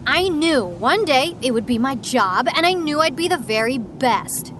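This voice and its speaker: A woman's voice: sits high, animated, unhurried, noisy background. A woman playing a cocky, defiant young streamer who hunts demons in an audio drama, brash and scornful. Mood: proud, callous.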